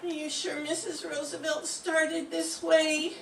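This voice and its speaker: An older woman reading the part of a naive, scatterbrained young woman in a radio sitcom, slow and literal-minded, recorded from far off in a roomy classroom. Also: sits high, level tone, vocal fry, fairly bright tone, a little hiss or hum, heavily acted. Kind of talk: 1940s radio sitcom acting.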